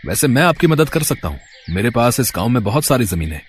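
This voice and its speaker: Man voicing a smooth, scheming village landowner. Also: mid-range voice, sing-song, quick pace, a little hiss or hum, pitched below the performer's own voice, lightly acted. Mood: affable, generous. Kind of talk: acted storybook dialogue.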